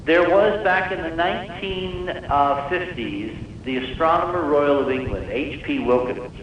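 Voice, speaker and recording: A man's voice: on the high side, a little slow. Guy on old radio interview explaining stuff emphatically, super echoey, muffled with some hiss. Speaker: interview guest, space-anomaly researcher. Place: live radio call-in show. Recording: distant, very echoey, muffled noisy radio broadcast.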